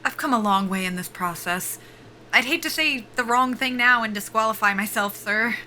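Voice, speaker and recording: An actress playing a guarded young soldier-pilot at a job interview in an audio drama, politely formal and clipped, with dry humor. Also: female voice, fairly high pitch, normal speed, sharp tone, a little hiss or hum, rough recording. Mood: stiff, eager. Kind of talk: naturalistic audio drama acting.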